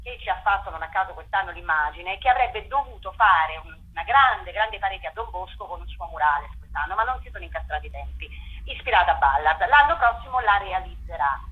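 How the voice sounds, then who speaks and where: A woman's voice: fairly low pitch, lively intonation, brisk, phone-quality sound. A woman theatre curator in a radio interview, talking in a rambling way, repeating words and using many fillers.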